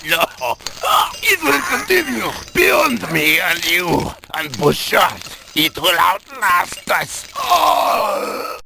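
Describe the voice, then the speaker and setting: An actor playing a defiant medieval antagonist in dramatic video game voice acting. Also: male voice, high-pitched, very animated, takes it slowly, vocal fry, pauses often, sharp tone, breathy edge, exaggerated voice. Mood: defiant, dying.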